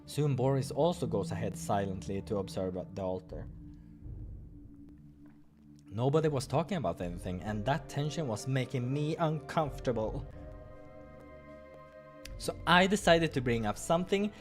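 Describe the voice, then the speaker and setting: A man's voice: medium pitch, lively intonation, moderate pace, pauses often. A man reading a visual novel's story aloud as narrator, with offhand asides of his own. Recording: music behind the voice.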